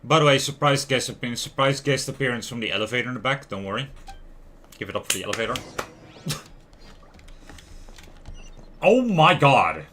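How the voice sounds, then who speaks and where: A man hosting a livestream, giving casual, expressive, rambling game commentary addressed to his viewers. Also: mid-range voice, moderate pace, pauses often, slight background noise. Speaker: livestream host.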